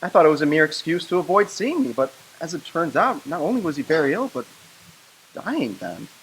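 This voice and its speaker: Woman as a debtor husband, earnest, lowish voice, lively pitch, faint hiss. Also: brisk, rough recording.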